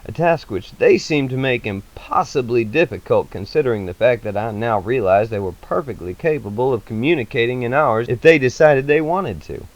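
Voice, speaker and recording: Man playing an earnest, eccentric scientist, swooping pitch. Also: animated, normal speed, few pauses, highs cut off, close to an everyday voice. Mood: grave, wistful. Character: eccentric gentle male scientist, earnest and childlike.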